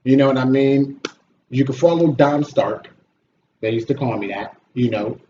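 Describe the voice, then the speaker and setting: Man, mellow voice, casual opinionated chat, blunt, complaining, echoey room, slightly dull recording. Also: medium pitch, normal speed. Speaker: video host and movie reviewer. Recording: roomy echo, dull audio, slight noise.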